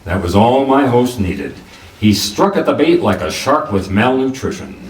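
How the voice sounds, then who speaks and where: Man playing a wry detective, lively, roomy sound. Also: mid-range voice, normal speed, close to an everyday voice. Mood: wry narrating. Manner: dry, sardonic, colloquial. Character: wry, wisecracking male private detective. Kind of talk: hardboiled radio drama narration and dialogue. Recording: roomy, distant microphone.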